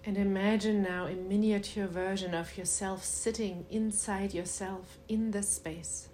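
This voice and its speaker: A woman leading a guided meditation in a soothing, unhurried way with long pauses and a soft voice that turns breathy at times. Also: mid-range voice, steady tone, slight background noise.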